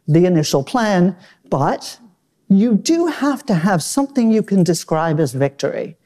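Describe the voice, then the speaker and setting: A woman from Australia weighs in as a panelist with a low, mellow voice. She lays out her points in a measured, analytical way and leans into her emphasis now and then. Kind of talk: panel discussion commentary.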